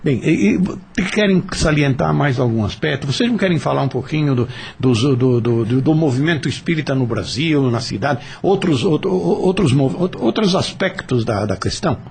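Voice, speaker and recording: A man with a Brazilian accent hosting a casual radio discussion, speaking haltingly and repeating words as he searches for phrasing. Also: mid-range voice, animated, vocal fry, a little mellow. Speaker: host moderating a radio discussion.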